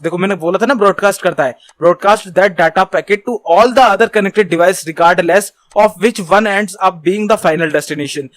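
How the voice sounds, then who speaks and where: A man's voice: high voice, no room sound. A man teaches an online course. He explains in an informal, instructional way, mixing in English technical terms. The recording is close and very dry. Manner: reads English points slowly and deliberately, then explains conversationally. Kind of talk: screen tutorial lesson, explaining informally.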